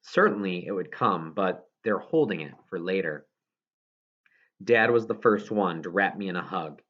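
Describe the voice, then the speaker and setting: A man's voice: deep voice, expressive, moderate pace, muffled recording. A man reading a children's novel aloud as scripted narration, now and then stumbling over a word. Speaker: audiobook reader.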